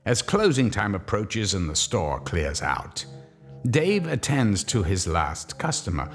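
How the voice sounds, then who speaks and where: Male horror narrator: low-voiced, slow, grave and theatrical, for scripted radio drama. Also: sing-song, faint music behind. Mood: ominous storytelling. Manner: measured, theatrical.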